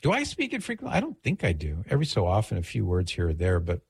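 Low, crisp-voiced middle-aged radio host, American man. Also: wildly swooping pitch, fast talker. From United States, Los Angeles area. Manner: conversational, rambling asides. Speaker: radio call-in show host. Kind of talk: casual talk radio, reading listener email and reminiscing. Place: radio studio.

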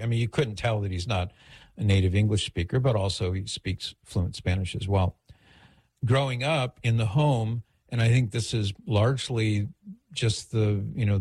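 A man's voice: lowish voice, a little mellow, husky, dry recording. A middle-aged man from the United States hosting a talk radio show in a casual, conversational way, with rambling asides as he reads and reminisces. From United States, Los Angeles area. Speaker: radio call-in show host. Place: radio studio.

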